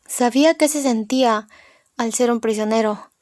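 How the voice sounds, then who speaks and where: A woman narrates a first-person novel as an audiobook. Her voice sits somewhat high. The recording is dry and close, with little room sound. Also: moderate pace, darkish tone, dry recording.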